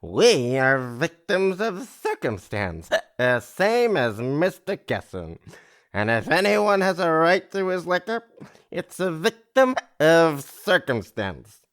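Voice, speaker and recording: A man plays a hard-drinking, self-pitying brother in an audio drama. He drawls flippantly in a clear, smooth voice that swings up and down in lively fashion. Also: a little high, slow, heavily acted. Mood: tipsy, flippant.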